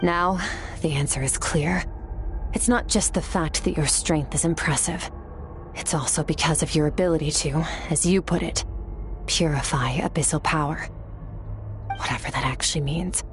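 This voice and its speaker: An actress playing a cool, aloof young woman warrior who speaks in a calm, cold and cutting way. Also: low-pitched, normal speed, lightly acted. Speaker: game character. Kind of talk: game voice acting. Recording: game audio with faint music.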